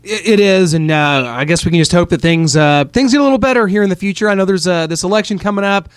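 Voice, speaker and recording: A man's voice: high-pitched, fast talker, clean tone, no echo. A man hosting a podcast interview, chatty and chummy, with lots of "uh" fillers. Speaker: podcast host. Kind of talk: casual interview.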